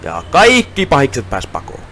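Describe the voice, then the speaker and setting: A man's voice: mid-range voice, huge pitch swings, a bit bright, a little hiss or hum. A man voicing a plain-spoken male side character in a comic cartoon parody dub. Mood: sceptical. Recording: music clearly behind the voice.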